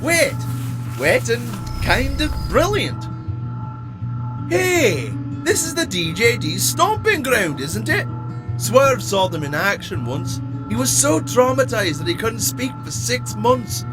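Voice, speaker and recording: A small, naive robot adventurer in an audio drama, acted by a man, excitable and guileless. The voice is high and very animated yet takes its time, set over music. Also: slow, full character voice, poor audio. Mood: excited, chirpy. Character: naive, chirpy, eager little male robot adventurer.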